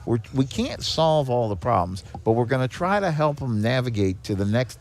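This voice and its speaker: A man speaking as a charity director and podcast guest, explaining things in a measured, conversational way. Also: mid-range voice, animated, a little mellow.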